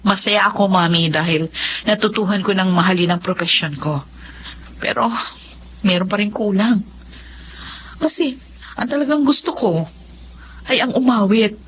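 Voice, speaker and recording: A woman plays an earnest, wistful grown daughter in a radio drama. She has a middling pitch, with a dull recording and faint noise behind. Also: normal speed, long pauses, close to an everyday voice. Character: gentle, wistful older sister, a young doctor. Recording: muffled, faint background noise.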